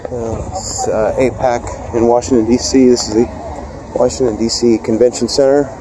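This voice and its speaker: Man narrating a street walk on a phone, halting and rambling, average pitch, wind and traffic all around. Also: flat delivery. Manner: rambling, halting, muttered asides. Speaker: street videographer. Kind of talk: casual walking commentary. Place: city sidewalk outdoors. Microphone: handheld phone.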